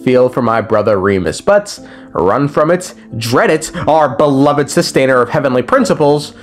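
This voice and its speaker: A man's voice: mid-range voice, lively intonation, normal speed. A man giving a casual, joking recap commentary on game lore, with slang and swearing. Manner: irreverent, joking, profane. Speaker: video creator explaining game lore. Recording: music behind the voice. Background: background music.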